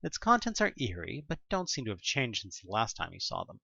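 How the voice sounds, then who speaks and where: Expressive, bright-voiced narrator with swinging pitch. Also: brisk, vocal fry, slightly rough, dry recording, lo-fi sound. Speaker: audio play narrator. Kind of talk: dramatic scripted narration of an interactive horror story in second person.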